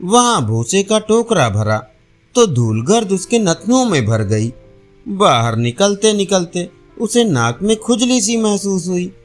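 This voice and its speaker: A man narrating a scripted folk tale as a storyteller, in an expressive manner. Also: sits high. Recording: faint music behind narration. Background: soft instrumental music.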